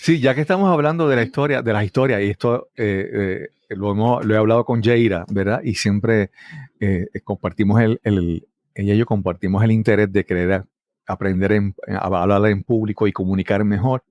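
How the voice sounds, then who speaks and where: A man's voice: on the low side, fairly even intonation, normal speed, darkish tone, dry recording. A man hosting a conversational interview, often checking in with tag questions. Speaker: podcast host.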